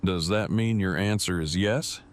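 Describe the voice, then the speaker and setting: A man acts out an earnest, devoted boyfriend in a post-apocalyptic audio drama. His voice is on the low side, and he talks in verbose, formal, carefully stilted sentences. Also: measured pace. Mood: hopeful, devoted. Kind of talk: fan audio drama acting.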